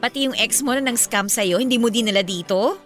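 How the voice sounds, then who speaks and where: A woman's voice: fairly high pitch, brisk, fairly bright tone, soft background music, lightly acted. An actress playing a playful, affectionate young woman in a Filipino radio romance drama, with a teasing, conversational way of talking. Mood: teasing, curious.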